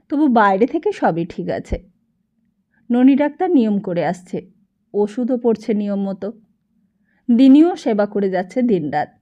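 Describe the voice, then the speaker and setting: A woman's voice: on the high side, highs cut off. A woman narrating a novel expressively as an audiobook.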